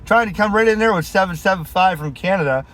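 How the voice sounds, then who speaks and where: A man's voice: high-pitched, fast, a bit bright. A man in a vehicle talking casually as a CB radio operator, friendly, using radio lingo and call signs. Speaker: CB radio operator, video host. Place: inside a vehicle, mobile radio. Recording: clear background noise, vehicle and radio clicks. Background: radio noise and vehicle sound.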